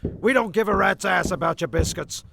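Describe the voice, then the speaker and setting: A man's voice: high-pitched, moderate pace, gravelly, noisy background. A man hosting and narrating a comedy radio play in sweary banter, often stumbling and repeating his words. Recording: music behind the voice.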